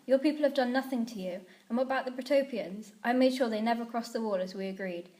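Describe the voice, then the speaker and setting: A woman's voice: on the high side, fast, darkish tone, natural voice. A woman playing a brave captive queen and protective mother in a story, speaking in an earnest, pleading manner.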